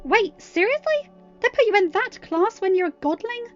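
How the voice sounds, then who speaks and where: A woman's voice: very high voice, sing-song, normal speed, dull audio, soft background music, pitched above the performer's own voice. A woman voicing a concerned, reassuring young female friend in audiobook dialogue. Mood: incredulous. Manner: questioning, reassuring.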